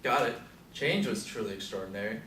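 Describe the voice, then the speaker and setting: A man's voice: fairly low pitch, fairly quick, a bit bright, lightly acted. Distant, echoey, wry aging Beatnik played by a man. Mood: earnest. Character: aging male Beat poet, wry, opinionated hipster. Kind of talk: stage play scene reading. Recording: echoey room from far off, faint noise.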